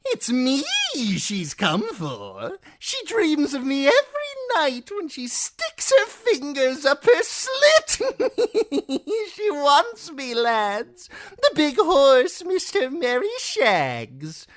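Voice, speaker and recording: A man voicing a cruel, lewd male jester who crows and taunts in a shrill sing-song. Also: wildly swooping pitch, drawn-out words, fairly bright tone, heavily acted. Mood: leering.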